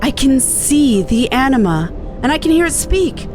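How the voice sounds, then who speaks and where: A woman reads out a proud, bookish researcher from a fantasy game. The delivery is formal, precise and earnest. Music plays clearly behind the voice. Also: high voice, sing-song, moderate pace. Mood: awed joy. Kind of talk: game dialogue read-aloud.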